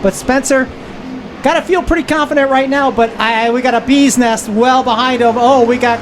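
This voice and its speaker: Woman calling a race over loud engines. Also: high voice, fairly even intonation, normal speed. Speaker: race commentator. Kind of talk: live stock car race commentary. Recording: loud vehicle noise behind. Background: race cars and trackside noise.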